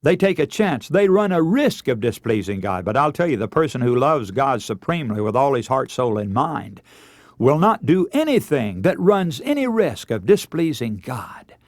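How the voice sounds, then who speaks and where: Radio preacher with sweeping, dramatic pitch. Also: male voice, big pitch swings, moderate pace, darkish tone, dry recording. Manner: earnest, emphatic, illustrating with anecdotes and rhetorical questions. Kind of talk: radio sermon.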